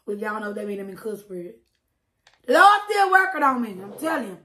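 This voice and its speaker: A woman's voice: on the high side, very animated, brisk, sharp tone, reverberant. Chatty woman eating on camera. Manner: chatty, preachy asides, laughs often. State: slightly hoarse. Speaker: mukbang creator. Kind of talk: casual eating-show chat.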